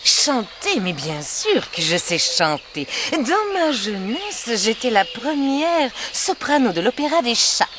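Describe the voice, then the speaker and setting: A woman voicing a proud old female cat, once an opera soprano, in a French animated fairy tale. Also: a little high, slow, few pauses, noisy background. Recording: music behind the voice.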